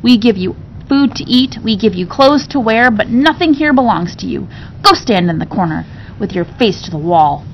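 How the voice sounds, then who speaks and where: A woman's voice: expressive, moderate pace, lightly acted. A woman reading a storybook, voicing a spoiled, cruel rich boy who sneers and gives orders. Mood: domineering, scornful. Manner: sneering, commanding, indignant. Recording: dull recording with low rumbling noise.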